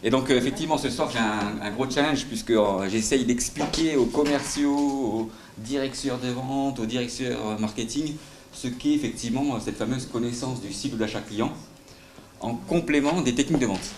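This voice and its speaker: A man's voice: mid-range voice, moderate pace, a little mellow. A middle-aged man with a light southern French accent giving a live sales talk at a conference, full of fillers and questions to the audience. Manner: hesitant, many fillers, asks the audience questions. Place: conference room. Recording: roomy hall with faint noise.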